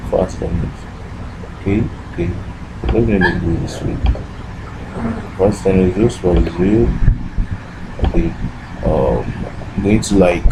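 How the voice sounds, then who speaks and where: A man's voice: low voice, background noise. A man giving an informal step-by-step tech tutorial as an instructor, often posing short questions to himself and answering them. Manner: asks and answers own questions with repeated "what".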